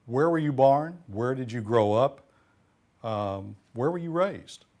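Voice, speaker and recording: Male interviewer, formal and polite, steady middle-pitched voice. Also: slow pace. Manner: formal, courteous questioning. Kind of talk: scripted interview questions.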